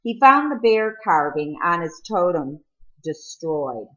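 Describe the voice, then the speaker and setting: A woman's voice: fairly low pitch, sing-song, slow pace, pushed and bright, lo-fi sound. A woman reading a novel aloud as scripted narration. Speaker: audiobook reader.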